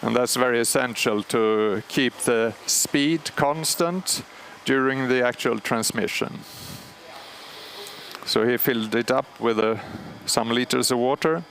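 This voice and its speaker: A man with a Swedish accent calmly explaining, step by step, how an old radio transmitter is started, pausing often with fillers. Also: mid-range voice, a little hiss or hum. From Sweden. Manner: measured, explanatory, frequent pauses and fillers. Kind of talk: live technical commentary. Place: large machine hall at a radio station. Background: humming machinery and alarm bells.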